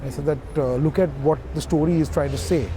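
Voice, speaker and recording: A man with a slightly high, mellow voice speaks haltingly in an interview. He recorded outdoors on a city street, with faint traffic sounds around him. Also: fairly even intonation, rough recording. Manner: hesitant, many uh fillers. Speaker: film director interviewee.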